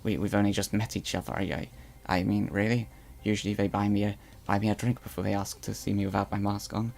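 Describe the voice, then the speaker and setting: A man's voice: lowish voice, flat delivery, fast, gravelly, a little mellow. Man doing a blustery Slig newscaster. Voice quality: weird put-on accent. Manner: blustery, rambling with stammered restarts. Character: male Slig guard turned propaganda newscaster, boastful. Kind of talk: in-character live stream chat roleplay.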